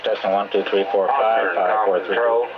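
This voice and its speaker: A man's voice: on the low side, fairly even intonation, normal speed. A man in a technician's role, delivering a rote test count over radio. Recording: muffled radio line, clear static.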